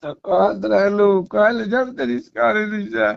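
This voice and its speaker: A high-voiced man with a Bhojpuri accent argues in a pleading, agitated way. His voice has a gravelly creak, and he keeps repeating himself. The phone-call recording is dull and lo-fi. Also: fairly even intonation, unhurried, no room sound. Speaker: caller in a personal phone argument. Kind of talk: emotional private argument.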